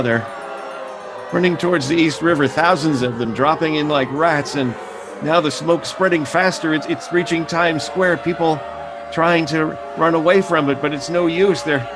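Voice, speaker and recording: A frantic rooftop radio reporter stammers through his live report. His voice sits a bit high, with music clearly playing behind him. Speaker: reporter, then announcer. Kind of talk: radio drama acting.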